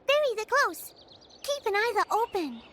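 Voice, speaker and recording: An actress voicing a tiny, cute creature companion in a video game, squeaky, with quirky broken phrasing. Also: female voice, big pitch swings, normal speed, sharp tone, full character voice. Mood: alert. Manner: quirky, inserts "the" oddly. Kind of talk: video game cutscene acting.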